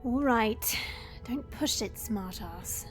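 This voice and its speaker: A woman playing a gently bossy, teasing young companion in a fantasy audio drama, with a measured, direct manner. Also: fairly high pitch, expressive, soft background music.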